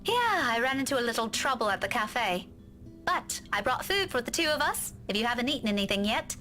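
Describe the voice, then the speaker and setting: A woman's voice: a little high, sing-song, fairly quick, bright, forceful tone, soft background music. An actress playing a motherly, stern young woman in a visual novel game, reproving and skeptical. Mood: caring.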